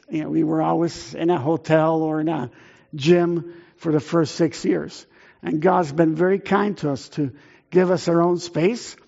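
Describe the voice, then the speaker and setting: A middle-aged man raised in Italy with Italian as his first language, speaking earnestly to a church as a missionary, halting often on fillers. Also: on the high side, vocal fry, darkish tone, muffled recording.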